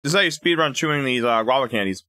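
A man streaming live, chatting casually with viewers, animated, laughing often and stumbling as he reads things aloud. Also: medium pitch, quick pace, no room sound.

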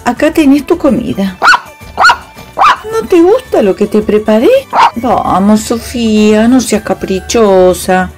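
An actress plays a housekeeper in a radio comedy over faint music. She talks slowly in a mid-pitched voice, fussy, fond and modest. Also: dark tone. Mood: coaxing, stern.

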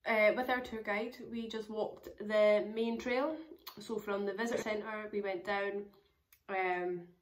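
A young woman with a hoarse, crackly voice, chatting casually to camera about her travels, rambling with lots of fillers. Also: medium pitch, little pitch movement, a little slow. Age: young adult. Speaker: travel vlogger. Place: small room indoors at home.

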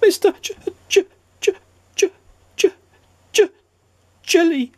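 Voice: very high-pitched, takes it slowly, a little mellow, no echo, pitched above the performer's own voice. A storybook narrator voicing a tiny, hysterically nervous little man with melodramatic, shrieking exclamations. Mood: stammering fear.

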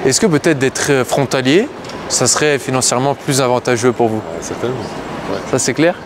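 A man's voice: medium pitch, a little fast. A middle-aged man from Switzerland answering street interview questions in a laid-back way, with short replies that trail off. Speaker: musician and fitness coach, interviewee. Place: city street outdoors. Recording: loud street noise.

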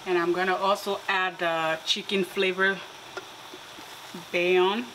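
A woman from Liberia giving a relaxed, step-by-step home cooking demonstration with light laughs. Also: lowish voice, a little slow. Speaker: cooking vlogger. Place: home kitchen. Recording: clear kitchen cooking noise. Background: frying and sizzling food, stirring.

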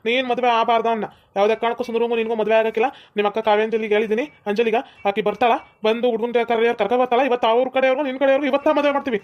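A performer playing a stubborn village father, who is gruff and insistent and keeps scolding. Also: medium pitch, level tone, very fast, hardly any pauses, highs cut off, natural voice.

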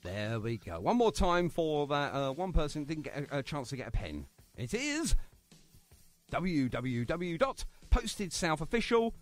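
Guy hosting a radio show, jokey, rambling, lively up-and-down voice, bit high. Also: a little slow, soft background music. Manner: chatty, jokey, rambling with many fillers. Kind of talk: casual radio interview. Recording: phone interview on online radio.

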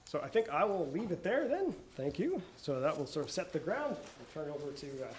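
A man's voice: fairly high pitch, very animated, a little hiss or hum. Formal man speaking in an echoey room. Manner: measured, formal, bureaucratic. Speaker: government panelist. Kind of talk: panel presentation. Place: university lecture room. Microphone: podium microphone.

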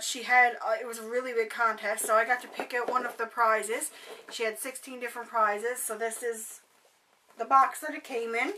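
A young woman talks in a slightly high, bright voice that has gone hoarse, rambling chattily with plenty of fillers. She sits back from a webcam in her bedroom, and a faint hiss runs under the sound. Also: far from the mic, rough recording. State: hoarse, voice going. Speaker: beauty vlogger. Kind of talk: casual haul chat to camera. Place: bedroom, indoors. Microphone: webcam at desk distance.

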